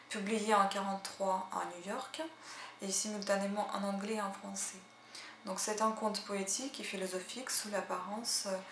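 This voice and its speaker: Woman, casual halting talk with many fillers, echoey room, faint background hum. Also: medium pitch, gravelly, fairly bright tone. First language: Russian. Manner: chatty, hesitant, full of "euh" fillers. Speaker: language-learning channel host. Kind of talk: casual spoken language tips and read-aloud practice.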